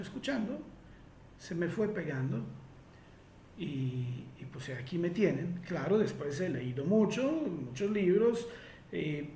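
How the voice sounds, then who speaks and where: A man's voice: high-pitched, a little mellow, reverberant. A man from Italy speaking Spanish with an Italian accent, answering listener questions in a reflective way with frequent fillers and restarts.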